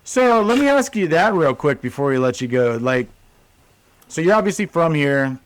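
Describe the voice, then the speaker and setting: Guy cohosting a podcast, kinda high voice, rambles with lots of likes, dry close sound. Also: big pitch swings, moderate pace, clean tone, no room sound. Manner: rambling, many restarts and "like" fillers. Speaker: podcast co-host. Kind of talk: casual podcast chat.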